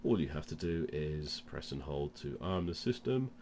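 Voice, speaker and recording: A man's voice: a bass voice, expressive, moderate pace, a little mellow, a little hiss or hum. A man with a cold presents an informal product demonstration, explaining things step by step. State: has a cold. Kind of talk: informal instructional demo.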